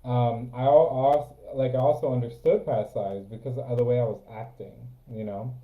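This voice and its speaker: A man's voice: medium pitch, fairly even intonation, moderate pace. Earnest man on tinny echoey audio. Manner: earnest, advising, repetitive. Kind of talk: casual spiritual chat.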